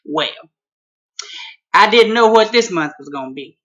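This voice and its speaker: A man from Mississippi with a Southern accent and a high-pitched voice, telling a comic story in a folksy, exclaiming way. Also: expressive, measured pace, fairly bright tone. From Mississippi, USA. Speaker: vlogger.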